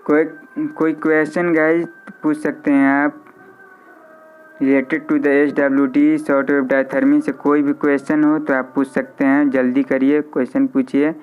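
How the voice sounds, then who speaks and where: A man with an Indian accent teaches an informal online class in a smooth, clean voice that sits a little high. He repeats key phrases and drops in English terms as he goes. The audio is somewhat dull and lo-fi. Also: flat delivery, unhurried. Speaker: physiotherapy lecturer hosting a live online class. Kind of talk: informal live lecture with audience questions. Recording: slightly dull, band-limited audio.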